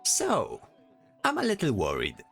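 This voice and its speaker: A man playing a chatty, fussy, worrying bard with an expressive way of talking in a fantasy audio drama. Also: a little high, measured pace, gravelly, husky, soft background music, heavily acted. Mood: concerned. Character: chatty, complaining, worrying bard disguised in knight's armor.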